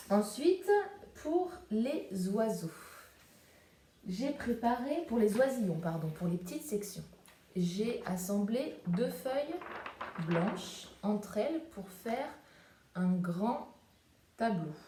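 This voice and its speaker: Slow, mellow-voiced woman teacher. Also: mid-range voice. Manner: step-by-step demonstrating while crafting. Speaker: preschool teacher. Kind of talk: remote art lesson for young children. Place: at home. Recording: echoey room, faint background noise.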